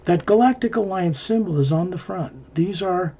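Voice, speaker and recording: Male guided-visualization narrator, mellow and breathy, halting phrase-by-phrase delivery, muffled lo-fi sound. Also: sits high, expressive. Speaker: guided meditation leader.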